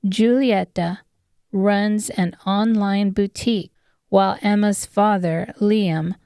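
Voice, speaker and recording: A woman's voice: medium pitch, fairly even intonation, takes it slowly, vocal fry, dark tone, clear, resonant tone, dry recording, clean studio sound. A woman reading scripted audiobook narration of fiction. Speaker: author narrating her own audiobook.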